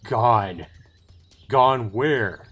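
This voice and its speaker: A man's voice: mid-range voice, drawn-out words, a bit bright. A man voicing a menacing, impatient male elf from a visual novel, intense and imperious. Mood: angry demand. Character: menacing, impatient male elf demanding his stolen sacred substance.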